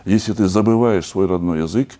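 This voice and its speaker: A man from Chechnya talks as a podcast guest in a very low, dark, mellow voice. He speaks in a measured, earnest way and shapes his points into full, reflective sentences. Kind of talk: conversational podcast discussion.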